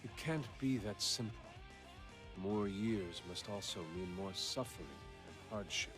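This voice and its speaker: A man's voice: fairly low pitch, unhurried, close to an everyday voice. A man voicing an earnest, intensely devoted assassin disciple in a video game, formal and solemn. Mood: respectful, calm. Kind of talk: video game character acting. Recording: studio voice under loud guitar music.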